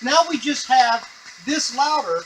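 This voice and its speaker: A man's voice: very high-pitched, unhurried, a bit bright, slight background noise, poor audio. A man giving a casual, unscripted tutorial on a device, explaining step by step to the viewer as he works. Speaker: device demonstrator.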